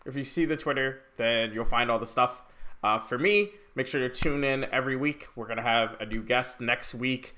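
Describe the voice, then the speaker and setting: A man's voice: mid-range voice, moderate pace, noisy background. A man hosting a podcast interview, speaking in a chatty, friendly way with loose phrasing. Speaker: podcast host.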